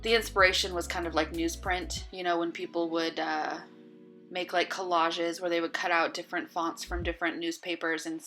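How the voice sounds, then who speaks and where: Chatty woman, slightly husky, low pitch. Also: normal speed, faint music behind. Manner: relaxed, chatty, with many ums and uhs. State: losing her voice. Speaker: bullet journal vlogger. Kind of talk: casual voice-over walkthrough.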